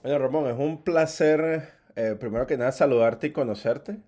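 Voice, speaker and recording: A man's voice: mid-range voice, normal speed, warm tone, soft background music. A man hosting an interview podcast, reading a scripted intro and then asking eager, friendly questions that often restart. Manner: eager, friendly questioning, repeats words when starting questions. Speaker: podcast host.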